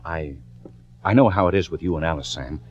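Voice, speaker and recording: An actor playing an earnest, trusting wronged man in a radio drama, halting and subdued. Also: deep voice, darkish tone, lo-fi sound. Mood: hesitant. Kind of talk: 1940s radio acting.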